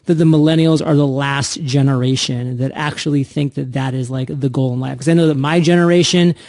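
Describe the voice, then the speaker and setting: A man's voice: medium pitch, steady tone, brisk, a little mellow, no room sound, studio quality. A man hosting an upbeat entrepreneurship podcast, with an emphatic, punchy delivery. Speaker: podcast host.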